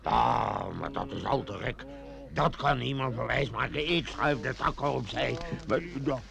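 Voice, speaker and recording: Excitable villager in old radio play, gravelly, crowd noise behind. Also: male voice, medium pitch, measured pace. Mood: indignant. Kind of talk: old radio comedy drama acting.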